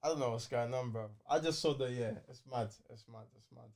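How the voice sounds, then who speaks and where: A man's voice: medium pitch, a little fast, darkish tone. A man with a London accent chatting casually as a podcast co-host, slangy and repeating phrases for emphasis. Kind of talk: casual banter.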